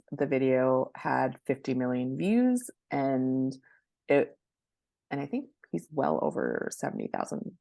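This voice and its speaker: Female expert guest, low register, conversational explainer delivery, dry recording. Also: darkish tone, no echo. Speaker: guest book marketing expert.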